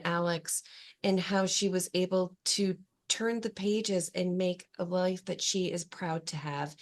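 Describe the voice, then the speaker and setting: A woman hosting a podcast as an empowerment coach, upbeat and encouraging, her voice slightly hoarse from a cold. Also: fairly low pitch. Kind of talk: scripted intro then interview chat.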